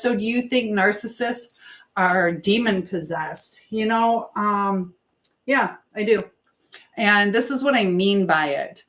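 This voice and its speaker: A woman hosting a casual live chat, rambling and trailing off as she answers viewers while busy with something else. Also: mid-range voice. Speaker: livestream host.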